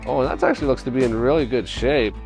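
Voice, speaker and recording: Hoarse middle-aged man, rambling. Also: medium pitch, fast, darkish tone, soft background music. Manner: rambling, thinking aloud while digging through items. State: hoarse after a cold. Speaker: storage locker reseller, channel host. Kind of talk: casual unboxing vlog. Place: dim indoor room. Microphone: chest-mounted camera.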